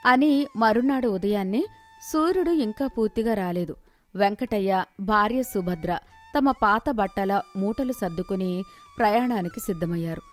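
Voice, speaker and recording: A woman narrator telling a scripted moral story in an expressive storytelling manner. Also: medium pitch, moderate pace, soft background music.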